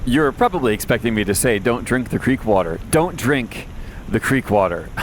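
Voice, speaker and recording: A man acts out a lone wasteland radio host in an audio drama, with a mid-pitched voice. He rambles conversationally, wry and a little anxious, with self-deprecating deadpan. Noticeable ambient noise sits behind him. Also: normal speed, natural voice. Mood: chatty. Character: wry, anxious post-apocalyptic male radio host wandering dangerous wastelands. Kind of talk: conversational audio drama monologue, answering listener messages.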